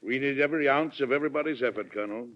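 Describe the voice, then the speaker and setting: An American actor playing a courteous, reluctant wartime president with measured dignity in a 1940s radio drama. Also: male voice, medium pitch, close to an everyday voice. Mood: grave, courteous. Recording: dull old radio transcription, music behind.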